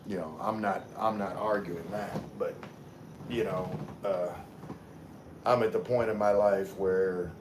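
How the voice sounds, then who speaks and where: A very deep-voiced man from Louisiana, chatting loosely with his live audience and answering comments as they come in. He talks in a relaxed, meandering way, full of "uh" and "you know". A faint hum sits under his voice. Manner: relaxed, rambling, many fillers and restarts. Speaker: livestream host reading chat. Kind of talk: casual live chat.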